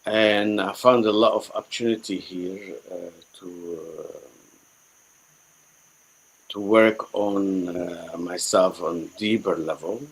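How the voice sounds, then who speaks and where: A middle-aged man from Syria with a Syrian accent, a native Arabic speaker, telling his own story reflectively as a guest, halting and repeating himself as he searches for words. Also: sits low, fairly even intonation, husky, rough recording. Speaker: guest, spiritual healer.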